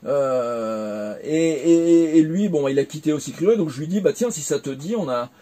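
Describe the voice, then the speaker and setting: A man's voice: on the high side, lively intonation, normal speed, mellow. A French man in his forties, recounting his career in a casual interview, hesitant with many fillers and restarts. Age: 40s. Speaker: interviewee, former game developer.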